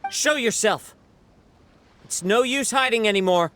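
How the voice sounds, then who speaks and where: A man voicing a calm, thoughtful young wandering samurai in a video game, speaking in measured, formal phrases. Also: sits high, slight background noise. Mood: challenging.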